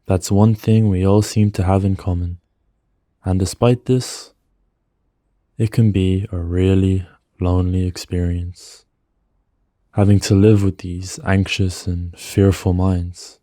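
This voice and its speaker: A man narrating a guided meditation in a soothing, gentle manner, with measured phrases and pauses, close on the mic. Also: low-pitched, flat delivery, no room sound.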